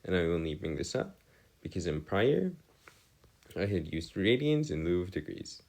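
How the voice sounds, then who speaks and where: Male tutor, low voice, swooping intonation, formal wording, hesitant, step-by-step explaining. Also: sing-song, normal speed, a little mellow. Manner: formal, wordy phrasing, hesitant, self-correcting. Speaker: math tutor. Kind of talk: informal lecture, explaining step by step.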